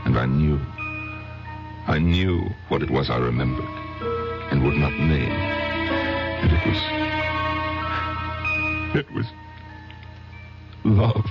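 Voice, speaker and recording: An actor playing a haunted, tormented man who narrates a confession slowly and haltingly in a radio drama, with a gravelly voice. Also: heavily acted. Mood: tearful. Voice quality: gravelly, very deep. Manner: slow, halting, brooding, dramatic. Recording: dull vintage radio recording, loud music.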